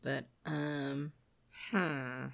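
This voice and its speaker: A woman's voice: a bass voice, fairly even intonation, very slow, creaky. A woman co-hosting a podcast, chatting casually about games in an informal, giggly way. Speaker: podcast co-host.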